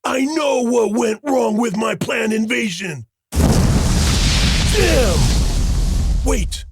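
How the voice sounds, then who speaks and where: A man's voice: very high-pitched, expressive, extremely slow, creaky, raised voice, very noisy, full character voice. A man voicing a monstrous, imperious and furious tyrant warlord in a comic dub, with bombastic, commanding delivery. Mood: imperious fury. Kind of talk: comic dub villain acting.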